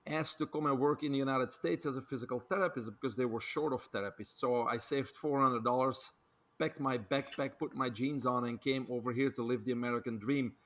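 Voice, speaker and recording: A middle-aged man with a Belgian accent talks through his career as a podcast guest, speaking fluently and energetically. His voice sits at an ordinary middle pitch for a man, and he leans on small filler words as he goes. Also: fairly quick, fairly bright tone. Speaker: guest, doctor and author. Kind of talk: podcast interview, storytelling.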